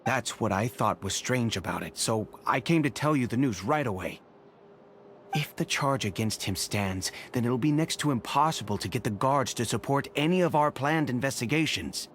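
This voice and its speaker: A man's voice: medium pitch, expressive, moderate pace, a bit bright, a little hiss or hum, natural voice. A man playing an earnest, worried guard in a video game, speaking with urgency. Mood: concerned. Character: earnest, worried male guard bringing news.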